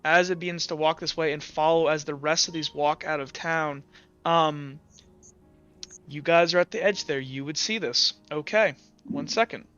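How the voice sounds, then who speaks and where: A man improvising narration as a game master in a casual, halting way, pausing between phrases. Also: on the high side, fairly bright tone, clear, resonant tone. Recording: dull lo-fi audio with background music.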